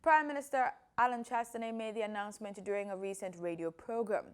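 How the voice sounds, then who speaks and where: A woman's voice: mid-range voice, fast, clean tone. A woman with a Jamaican accent reading formal scripted news as an anchor.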